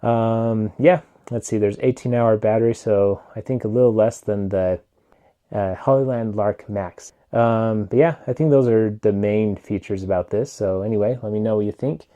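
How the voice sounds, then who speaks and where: Low, mellow-voiced man rambling casually. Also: moderate pace. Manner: rambling, filler-heavy, relaxed. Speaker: tech reviewer. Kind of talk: casual product review. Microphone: wireless clip-on mic into phone.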